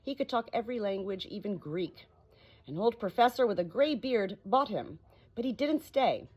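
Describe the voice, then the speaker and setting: A woman's voice: mid-range voice, lively intonation, normal speed, a little mellow, lightly acted. A woman voicing an old, scornful female parrot in an audiobook, indignant, boastful and full of exclamations. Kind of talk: audiobook character dialogue. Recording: clearly noisy home recording.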